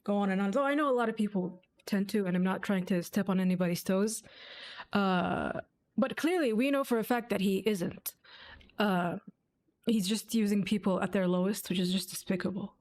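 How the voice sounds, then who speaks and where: A woman's voice: mid-range voice, normal speed, a little mellow. A woman streamer giving casual, sceptical commentary on a game, sardonic and free with swearing. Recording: game music behind the voice.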